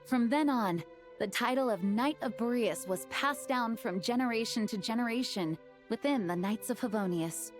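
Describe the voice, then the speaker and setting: A woman's voice: fairly high pitch, soft background music, lightly acted. Woman as a composed, formal knight leader. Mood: solemn. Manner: formal, measured. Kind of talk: video game character acting.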